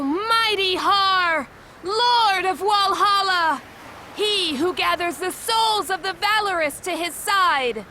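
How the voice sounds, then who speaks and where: Casting: breezy yet commanding seafarer woman, video game cutscenes, high and swooping delivery. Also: bright, forceful tone, slight background noise, full character voice. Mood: solemn, invoking.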